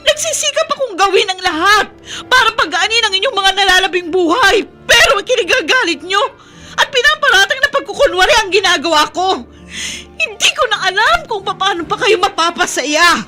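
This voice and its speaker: A woman's voice: very high voice, expressive, a little fast, pushed and bright, soft background music, exaggerated voice. A woman with a Filipino accent playing a self-sacrificing, weary middle-aged daughter and mother in a radio drama, earnest and emotional. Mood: aggrieved, tearful.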